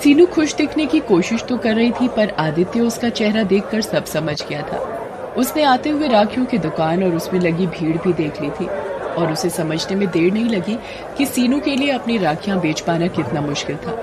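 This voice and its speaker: A woman's voice: mid-range voice, sing-song, moderate pace, crowd noise, background noise. A woman reading scripted narration for a cartoon story as the storyteller.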